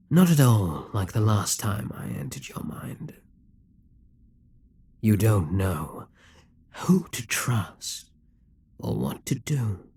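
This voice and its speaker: Guy doing a possessive demon king in an audio roleplay, low breathy voice, super slow, coaxing and whispery. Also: a little mellow, no room sound, heavily acted. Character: possessive scheming male demon king, calm and ruthless.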